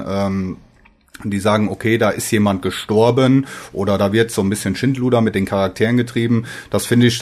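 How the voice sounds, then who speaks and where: A man with a slightly low voice talks casually as a guest on a fan podcast. He phrases things haltingly, often doubling back on his words.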